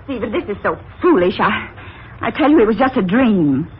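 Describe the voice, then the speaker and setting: An actress playing a worried, doubting farmer's wife in an old-time radio drama, anxious and pleading in a theatrical way. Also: female voice, medium pitch, animated, normal speed, close to an everyday voice. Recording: scratchy, narrow-band old radio broadcast.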